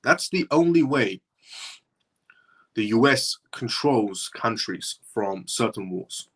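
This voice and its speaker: Husky-voiced guy from iran hosting a livestream, rambles and repeats himself, mid pitch. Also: animated, slow pace. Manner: rambling, repeats his points. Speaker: live show host. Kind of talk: casual livestream commentary.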